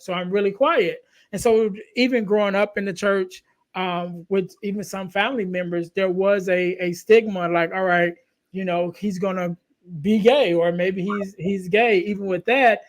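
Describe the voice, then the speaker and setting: A soft-voiced man hosting a podcast, talking in an animated, casual conversational way. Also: sits high, normal speed. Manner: animated, frequent "you know what I mean". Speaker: podcast host.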